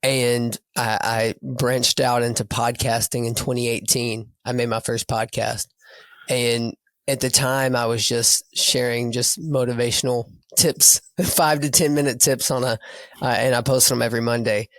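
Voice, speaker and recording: A man with a raspy voice, a coach speaking as a guest over a video call in an earnest, reflective way. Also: medium pitch, steady tone, a little slow, fairly bright tone, no room sound. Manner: earnest, reflective, repeats words as he thinks. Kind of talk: casual podcast interview.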